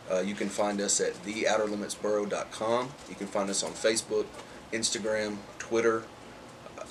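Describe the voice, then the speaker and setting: Male small-business owner, informal promo, deepish monotone, slightly noisy location sound. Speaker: comic shop owner, sponsor. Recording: noticeable background noise.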